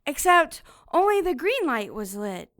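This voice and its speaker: A woman playing a frightened, insistent little orphan girl in an audio drama, childlike, earnest and halting. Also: high-pitched, very animated, a bit bright, heavily acted.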